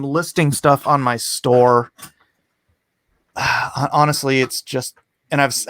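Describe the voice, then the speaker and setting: A man's voice: on the high side, fairly bright tone, dry recording. A man hosting a casual live online art auction, chatting and reading out comments in a playful, hammy way. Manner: playful, theatrical, hammy countdowns.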